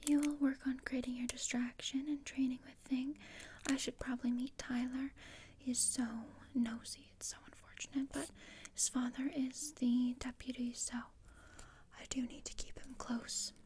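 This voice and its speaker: A woman playing a morbid, bossy gothic teenage girl in a breathy, deadpan ASMR roleplay whisper, close to the microphone. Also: high voice, moderate pace, close to an everyday voice. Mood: scheming, bossy. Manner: deadpan, dry, matter-of-fact. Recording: close-up with clear noise and clicks.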